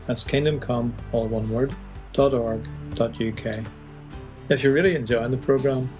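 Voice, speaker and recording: A man's voice: medium pitch, darkish tone. A man hosting a radio programme, reading scripted links to the listeners. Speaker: radio show host.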